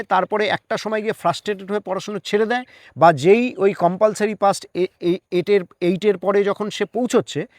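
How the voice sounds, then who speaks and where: A man's voice: high-pitched, no echo, studio quality. A man speaking earnestly as an interview guest, explaining his opinions emphatically with rhetorical questions.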